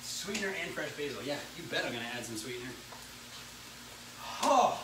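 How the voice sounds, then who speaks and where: A man's voice: fairly high pitch, sing-song, a little fast. A playful man in his thirties banters casually as he cooks. His voice comes from across a roomy, echoing kitchen, with heavy noise around it. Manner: playful, teasing. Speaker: co-host of a home cooking vlog. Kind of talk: casual bantering chat while cooking. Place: home kitchen, indoors. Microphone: camera across the room. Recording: roomy, noisy kitchen, distant mic.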